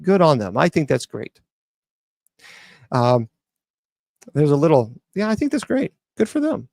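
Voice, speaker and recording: A man's voice: a little high, huge pitch swings, measured pace, gravelly, darkish tone, dry recording, studio quality. A man hosting an open mic show, reading news stories aloud and chatting about them with frequent restarts. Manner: chatty, reads news aloud then riffs with many restarts. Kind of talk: casual commentary on news stories.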